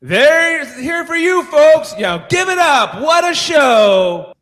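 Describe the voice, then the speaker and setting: A man playing a bombastic convention announcer who hypes the crowd with drawn-out showman shouts in a radio-theatre read. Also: very high-pitched, sing-song, pitched above the performer's own voice, exaggerated voice. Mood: hyped, rousing. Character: bombastic male convention announcer, hyping the crowd with big fanfare.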